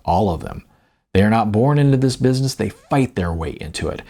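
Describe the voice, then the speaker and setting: A man reading their own first-person story as scripted narration, with deliberate, emphatic phrasing and a gravelly, husky voice. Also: on the low side, animated, mellow.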